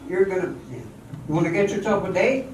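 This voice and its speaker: A man's voice: sits high, lively intonation, fairly quick. A man acting as a hearty, breezy showman in an amateur radio drama, jovial and bossy. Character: breezy, hearty showman, everybody's best pal. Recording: echoey hall from far off, faint noise.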